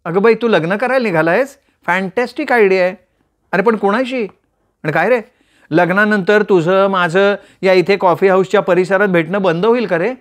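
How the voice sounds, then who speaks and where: A man's voice: high voice, voice pushed up, close to an everyday voice. A man voicing a playful teasing young woman. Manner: teasing, quick, bantering. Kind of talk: storytelling with voiced characters.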